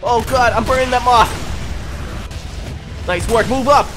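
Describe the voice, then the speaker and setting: A young man doing excited, improvised roleplay commentary over a video game, urgently shouting commands to teammates. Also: very high voice, unhurried. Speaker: gaming video host playing a roleplay game. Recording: loud music behind the voice. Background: loud music.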